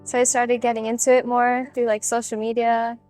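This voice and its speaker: A woman's voice: fairly high pitch, level tone, brisk, a little mellow, faint music behind. A young woman giving blunt, slangy answers in a documentary interview.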